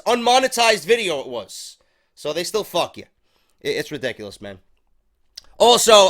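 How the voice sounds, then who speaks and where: A man's voice: sits high, sharp tone. Congested man hosting a wrestling review. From New York. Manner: profane, rambling, unscripted. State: congested head cold. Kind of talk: casual spoken show review.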